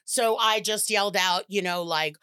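A woman's voice: mid-range voice, normal speed, sharp tone, smooth, no room sound, studio quality. A middle-aged woman telling funny stories on a podcast, laughing often, swearing casually and acting out quotes. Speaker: podcast guest, comedic actress. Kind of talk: casual chat, storytelling.

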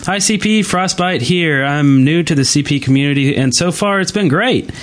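A man's voice: fairly high pitch, normal speed, slight background noise. A man co-hosting a podcast in loose, casual group conversation. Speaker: podcast co-host.

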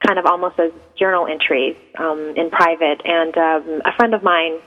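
A woman's voice: low-pitched, fairly even intonation, normal speed. A woman in her thirties from Iran, a native Persian speaker, answering radio interview questions hesitantly and reflectively, with many ums. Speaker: guest poet. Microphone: phone. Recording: tinny phone line.

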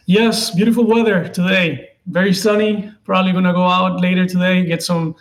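A man in his twenties with a Venezuelan accent, a native Spanish speaker, answering interview questions haltingly as he searches for words. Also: high-pitched, level tone. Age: 20s. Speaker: interview guest, political contributor.

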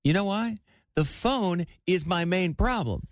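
A man's voice: high-pitched, animated, measured pace, no room sound. Teasing man in his forties, dry close sound. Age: 40s. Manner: teasing, playful. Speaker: radio show host. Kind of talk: casual banter.

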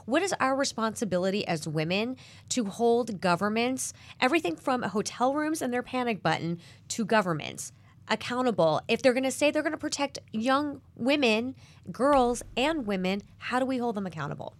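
A woman host and business reporter leading a panel discussion, animated and asking questions. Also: a little high, normal speed.

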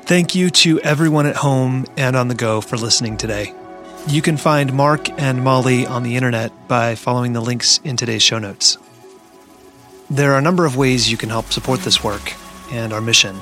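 A man hosting a political podcast, closing the episode with a steady scripted read. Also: medium pitch, normal speed, soft background music. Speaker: podcast host. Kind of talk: podcast outro.

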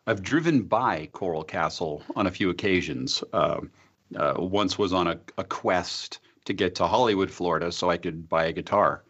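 A man's voice: low-pitched, normal speed, a bit bright, breathy edge, dull audio. A man co-hosting a podcast, speaking casually and hesitantly with many fillers. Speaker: podcast co-host. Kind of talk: casual chat.

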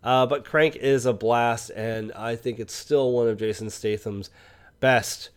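A man co-hosting a film podcast in casual conversation, often halting mid-thought as he talks. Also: medium pitch, fairly even intonation, smooth. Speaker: podcast co-host and film reviewer.

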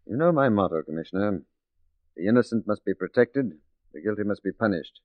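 An actor playing a resolute, righteous detective in a 1940s radio drama, speaking in a measured, emphatic, theatrical way. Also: male voice, lowish voice, expressive, dry recording, natural voice. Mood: resolute, grave. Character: resolute, righteous male detective working undercover as a secretary. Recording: muffled 1940s radio recording.

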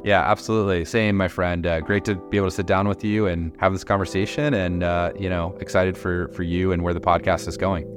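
A man being interviewed as a podcast company executive, answering in a measured, businesslike way with frequent "you know" fillers. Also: deep voice, flat delivery, over music.

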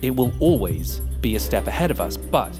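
A man's voice: mid-range voice, sing-song, a little fast, over music, natural voice. An actor playing a suspicious, defiant man who argues and takes command of a trapped group in an audio fiction drama. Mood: urgent, plotting. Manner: argumentative, commanding, sarcastic.

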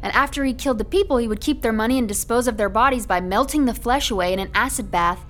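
Woman acting a high-voiced, sarcastic, frantic young woman. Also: fast, fairly bright tone, faint music behind, lightly acted. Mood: sarcastic, exasperated. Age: young adult. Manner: biting sarcasm, exasperated. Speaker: actress in audio drama. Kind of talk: modern horror radio drama acting.

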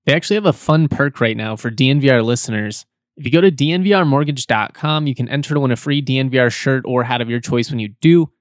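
A man hosting a sports podcast in casual chat, his voice slightly hoarse, chatty and repeating words as he goes. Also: medium pitch, fast talker, no room sound, clean studio sound. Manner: chatty, repeats words, laughs now and then. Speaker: podcast host.

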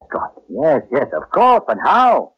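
Anguished, overwrought surgeon, wide-swinging husky voice. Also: male voice, medium pitch, big pitch swings. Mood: grim resolve. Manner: halting, overwrought, repeating phrases. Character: anguished human surgeon obsessed with discrediting robot doctors. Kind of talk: old-time radio drama acting. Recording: dull, lo-fi old radio recording.

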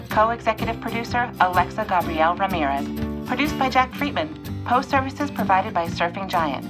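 A woman announcer reads the end credits in a steady, even voice at a middle pitch. Loud music plays under her the whole time. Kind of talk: scripted credits reading.

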